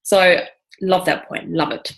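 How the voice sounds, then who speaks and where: A woman's voice: lowish voice, steady tone, unhurried. Chatty, enthusiastic woman host. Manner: enthusiastic, chatty, many "you know" fillers. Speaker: interview host. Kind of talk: casual video interview.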